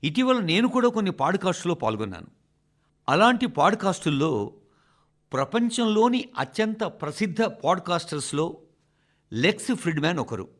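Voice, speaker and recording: Expressive, high-pitched man reading an address. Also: moderate pace. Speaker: radio reader of a translated national address. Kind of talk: scripted broadcast narration, oratorical address.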